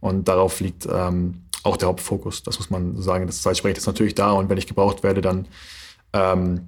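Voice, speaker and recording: Young german handball guy, kinda husky low voice, lots of umms, thinking out loud. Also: completely flat delivery, normal speed. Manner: thoughtful, many hesitation fillers. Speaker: handball player, guest. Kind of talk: interview answers.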